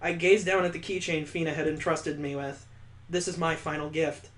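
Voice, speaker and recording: Male let's play host, slightly high voice, theatrical read-aloud style with incredulous, wry asides. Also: level tone, normal speed, a bit bright. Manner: incredulous, joking asides between earnest narration. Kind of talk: dramatic read-aloud with reactive commentary.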